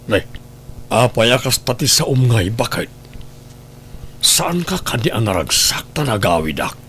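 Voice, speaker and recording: A man's voice: mid-range voice, sing-song, a little slow, fairly bright tone, a little hiss or hum. A man with an Ilocano accent playing a needy, grumbling husband home from working away, in an audio drama.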